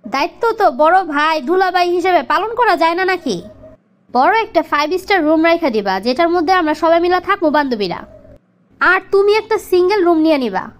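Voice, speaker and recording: Casting: female actor as demanding young cartoon wife, extremely high-pitched, Bangladeshi accent, scolding and insistent. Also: lively intonation, a little fast. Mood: bossy. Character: bossy, demanding young wife devoted to her girlfriends. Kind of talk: comic cartoon dialogue in colloquial dialect.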